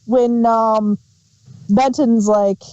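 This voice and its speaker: A woman co-hosting a review show, chatting casually in a playful, halting way. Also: fairly high pitch, fairly even intonation, warm tone, dry recording, lo-fi sound. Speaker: podcast co-host.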